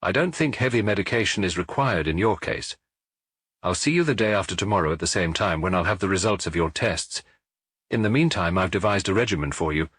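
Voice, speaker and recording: A man voicing a calm, courteous male spa doctor in a storybook reading, speaking in a measured, polite and reassuring way. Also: low-pitched, breathy edge, no room sound, exaggerated voice.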